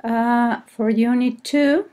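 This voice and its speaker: A woman teacher with a Mexican accent, presenting to colleagues in a hesitant, halting way and often correcting herself. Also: fairly high pitch, fairly even intonation, mellow. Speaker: English teacher. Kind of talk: screen-recorded presentation to colleagues.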